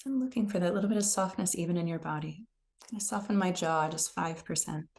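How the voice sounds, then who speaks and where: A woman leading a guided meditation in a gentle, unhurried, soothing manner. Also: lowish voice, vocal fry. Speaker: meditation teacher.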